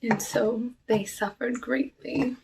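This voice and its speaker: A woman playing a cursed outcast witch of the woods in an ASMR roleplay, speaking softly and often whispering, with a wistful, genteel manner. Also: on the high side, steady tone, creaky. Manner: soft, unhurried, wistful, genteel, drawn-out phrasing. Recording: noisy, with clicks and dish clatter.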